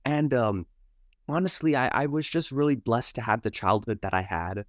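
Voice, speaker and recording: A man's voice: mid-range voice, normal speed, dry recording, studio quality. A man from Canada, born in India, speaking as an interview guest in a polite, earnest, wordy way with hesitant repeats. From Toronto, Canada, born in Mumbai, India. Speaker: interview guest, environmental scientist. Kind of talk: conversational personal storytelling.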